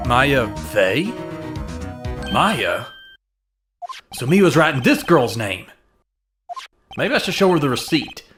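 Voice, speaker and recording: A man's voice: mid-range voice, very animated, takes it slowly, pauses often, a bit bright. A man playing an earnest, jumpy young defense attorney in a dramatic game fandub. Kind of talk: dramatic game fandub, inner monologue and dialogue. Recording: music under the voice. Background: game music.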